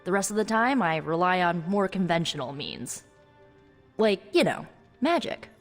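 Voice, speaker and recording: A woman playing a friendly, awkward young sorceress in a video game cutscene, casual and a little halting. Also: fairly low pitch, very animated, big-room sound, soft background music. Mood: playful. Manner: casual, halting when flustered.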